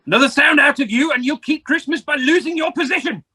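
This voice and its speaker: A man playing a grumpy, miserly old uncle in an amateur radio play, raspy, curt and dismissive. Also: very high voice, fast talker, sharp tone, heavily acted. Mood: threatening.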